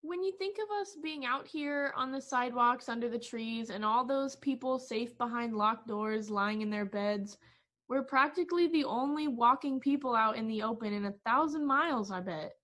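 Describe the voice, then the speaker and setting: A woman's voice: fairly high pitch, normal speed, fairly bright tone, natural voice. A woman playing a worried, gloomy friend who urges caution, uneasy and reproachful, in an old-time radio play. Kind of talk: 1940s radio play acting.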